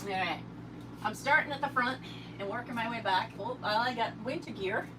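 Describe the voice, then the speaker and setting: A woman hosting a casual livestream while tidying her RV, a little hoarse from a summer cold, chatting and thanking viewers by name. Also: on the high side, moderate pace, background noise. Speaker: livestream host. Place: inside an RV.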